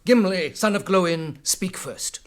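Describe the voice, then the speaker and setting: An actor playing a wise, grave elven lord with a measured, authoritative manner in a radio drama. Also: male voice, sits high. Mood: formal welcome.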